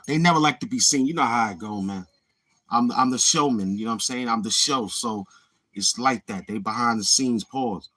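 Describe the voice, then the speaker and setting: A man's voice: medium pitch, moderate pace, bright, forceful tone. A man hosting his own channel in a boastful, taunting livestream monologue, swaggering and repeating himself. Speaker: YouTube channel host.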